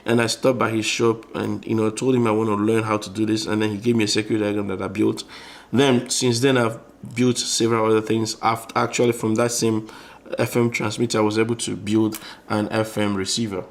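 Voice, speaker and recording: Low-pitched man explaining a hands-on tutorial. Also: flat delivery, fairly quick, vocal fry. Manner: explanatory, conversational, frequently rephrasing. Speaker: electronics tutorial presenter. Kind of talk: informal hands-on tutorial narration.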